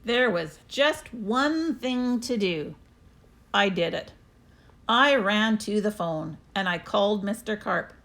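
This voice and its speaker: A woman reading a children's story aloud expressively, with deliberate, dramatic emphasis. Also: medium pitch, animated, a bit bright. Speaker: storybook reader.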